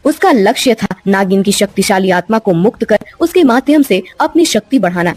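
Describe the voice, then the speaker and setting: A woman's voice: medium pitch, expressive, brisk, darkish tone, no echo. A woman narrating a scripted bedtime story as the storyteller.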